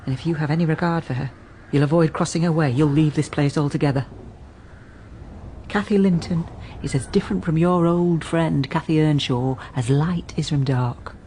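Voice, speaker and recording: A woman's voice: low voice, normal speed, mellow, slight background noise, lightly acted. A woman playing a plain-spoken, firm housekeeper in a period radio drama.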